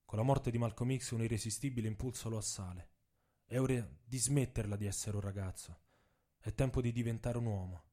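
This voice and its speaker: A man's voice: medium pitch, a little fast, dark tone. A man reading his own literary text aloud as dramatic narration.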